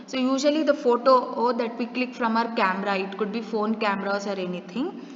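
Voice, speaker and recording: A woman's voice: a little high, steady tone, brisk. A woman giving a step-by-step lecture, posing rhetorical questions and using everyday examples. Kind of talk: recorded classroom lecture. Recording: muffled echoey room with long reverb.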